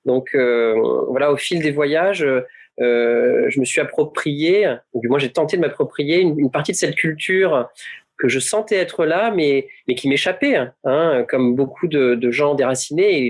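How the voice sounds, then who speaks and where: A man's voice: on the high side, moderate pace. A man from France, an author and illustrator, answering questions in a panel conversation, hesitant with many fillers and restarts.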